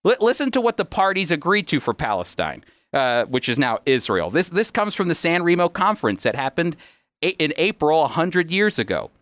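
A man's voice: fairly high pitch, big pitch swings, normal speed, fairly bright tone, no echo. A man hosting a teaching program, explaining in a conversational, emphatic way and speaking directly to the listener. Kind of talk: conversational teaching talk with read-out quotations.

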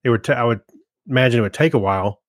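A man co-hosting a podcast, chatting casually in a low-key, tentative way. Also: racing, dry recording, clean studio sound. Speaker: podcast co-host. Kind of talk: casual chat.

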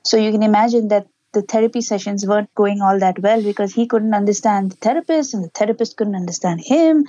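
A woman's voice: mid-range voice, quick pace, dark tone, no echo, highs cut off. A woman, a parent giving earnest, explanatory answers in an interview. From Pakistan. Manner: earnest, explanatory, with small laughs. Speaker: interview guest, parent and educator.

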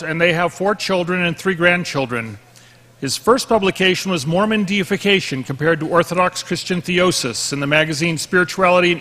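A man's voice: a little high, sharp tone. A man serving as conference emcee, reading a formal introduction of the next speaker. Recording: long hall reverb.

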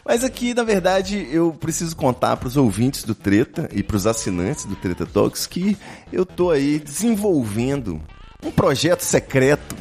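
A man's voice: on the high side, big pitch swings, a little slow, darkish tone, soft background music. A man with a Brazilian accent hosting a podcast in a casual, chatty, joking way. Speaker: podcast host.

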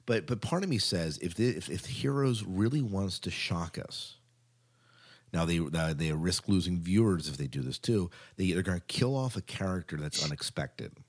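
A man's voice: sits low, lively intonation, moderate pace. A man co-hosting a podcast in casual chat about TV news, stressing points by repeating his words. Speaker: podcast co-host.

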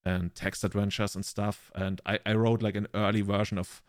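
Podcast co-host: German-accented man, deeper register, very dry recording, loose conversational anecdotes. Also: flat delivery, moderate pace, dry recording. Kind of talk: casual chat, rambling anecdotes.

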